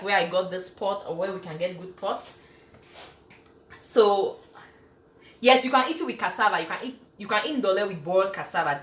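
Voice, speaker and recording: A woman's voice: medium pitch, fairly bright tone, roomy sound. A woman from Cameroon hosting a live cooking stream in her home kitchen, chatty and enthusiastic, laughing often as she reads out viewer comments. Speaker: cooking show host.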